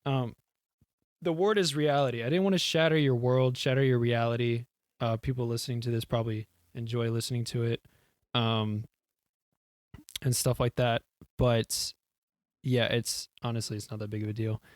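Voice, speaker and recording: A man's voice: medium pitch, steady tone, normal speed, long pauses, no room sound, clean studio sound. A young man with a Houston Texan accent, co-hosting a podcast in casual, rambling chat, laughing and swearing loosely. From Houston, Texas. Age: young adult. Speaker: podcast co-host. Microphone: desk mic, leaning in.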